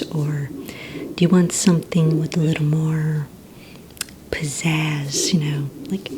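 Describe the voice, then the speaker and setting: Whispering woman, ASMR receptionist roleplay, breathy, very slow, low-pitched, polite, gentle. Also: background noise. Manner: polite, gentle, hesitant official questioning. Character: courteous female villain recruitment receptionist. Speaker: ASMR performer playing a receptionist.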